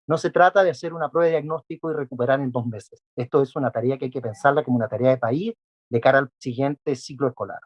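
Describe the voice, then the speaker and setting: A man's voice: mid-range voice, fast. A man, an education specialist, answering interview questions methodically, listing his points with frequent fillers. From not Peruvian.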